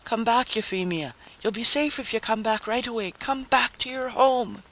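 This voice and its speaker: A man's voice: very high-pitched, moderate pace, a bit bright, pitched above the performer's own voice. A man voicing a cynical male wasteland drifter in a story reading, with a blunt, theatrical, snarling manner. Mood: anxious, urgent.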